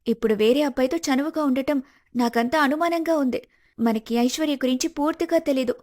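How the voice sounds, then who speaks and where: A woman voicing a suspicious, protective young woman in an animated moral story, earnest and probing. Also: high voice, fairly quick, natural voice. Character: suspicious, protective young woman helping her male friend.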